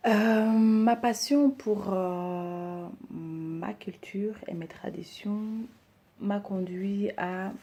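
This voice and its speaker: Thirties woman talking slow n halting, lots of ums, gravelly low voice. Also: warm tone. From Rwanda, raised in Belgium. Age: 30s. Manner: hesitant, many pauses and fillers. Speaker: decor business owner introducing herself. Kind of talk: personal introduction to camera.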